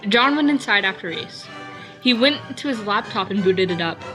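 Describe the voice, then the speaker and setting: A woman's voice: medium pitch, expressive, moderate pace, rough recording. A woman casually reading fan fiction aloud, stumbling over words now and then. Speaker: reader. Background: faint classical music.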